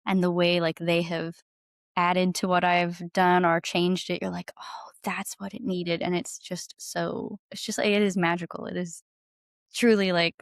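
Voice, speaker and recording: A woman's voice: lowish voice, level tone, unhurried, clear, resonant tone, dry recording, studio quality. A woman who is a singer-songwriter guest, chatting casually and enthusiastically in an interview, often saying "like". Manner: enthusiastic, informal, frequent "like".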